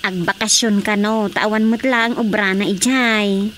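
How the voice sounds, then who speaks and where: A woman's voice: mid-range voice, steady tone, measured pace, a bit bright, slight background noise, close to an everyday voice. A woman playing a chatty, teasing village daughter in a Filipino radio drama comedy, speaking expressively.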